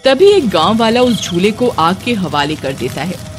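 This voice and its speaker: A woman's voice: medium pitch, sing-song, moderate pace, music in the background. Woman narrating a cartoon ghost story.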